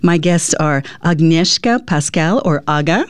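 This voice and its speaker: A woman's voice: low-pitched, expressive, a little slow, clear, resonant tone, no echo. A woman hosts a radio interview with a friendly, upbeat manner. The recording is dry and close, with no room sound. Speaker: radio host.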